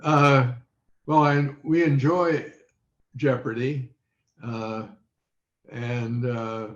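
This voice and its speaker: Slow, halting older man on echoey call. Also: medium pitch, fairly even intonation. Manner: halting, searching for words. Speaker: interview guest, former quiz show contestant. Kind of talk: interview conversation on a video call. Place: remote video call. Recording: muffled, echoey video call audio.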